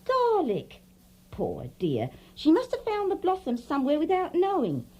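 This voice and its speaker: An actress playing a chatty, fretful, deferential housemaid in an audio drama. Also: female voice, very high-pitched, wildly swooping pitch, measured pace, darkish tone, highs cut off, exaggerated voice. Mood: fussing, deferential. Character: fussy, talkative housemaid, deferential and kindly.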